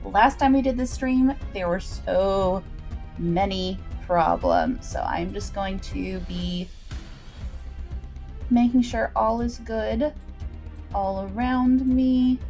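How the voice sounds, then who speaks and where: A woman's voice: mid-range voice, animated, takes it slowly, a little mellow. A woman hosting a livestream, chatting informally with viewers and recapping a story, with asides to the chat. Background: background music.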